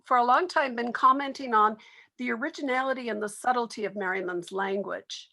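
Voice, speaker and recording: A woman's voice: on the high side, a little fast, bright, forceful tone. A woman speaking as a literary expert in an interview, explaining her subject at length.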